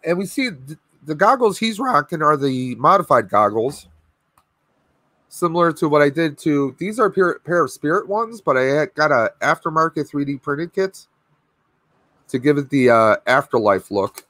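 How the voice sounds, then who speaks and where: Hoarse stream host, thirties, talks in a dry, close, higher voice with lots of fillers. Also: male voice, lively intonation, moderate pace, pushed and bright, no room sound. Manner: chatty, rambling with fillers. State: losing his voice, clearing throat. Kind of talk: casual live stream chat. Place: home room with collectibles, indoors. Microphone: webcam video call.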